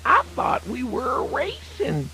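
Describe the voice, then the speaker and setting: A man's voice: very high-pitched, huge pitch swings, a little slow, sharp tone, soft background music, full character voice, low-quality recording. A man voicing a tiny, cocky, wily cricket in a children's radio folk tale, folksy and self-assured with a Southern US accent. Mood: smug.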